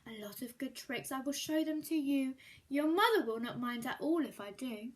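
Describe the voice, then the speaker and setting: A woman's voice: sits high, expressive, moderate pace. A woman voicing a showy, playful cat in a hat who coaxes and boasts. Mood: boastful, playful.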